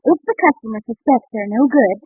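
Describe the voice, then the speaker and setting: Sensible young housewife, husky voice, inquisitive, gently scolding, 1940s radio comedy, dry muffled band-limited recording. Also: female voice, fairly high pitch, lively intonation, a little fast, no echo. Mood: suspicious, concerned. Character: sensible, suspicious, caring young wife and mother.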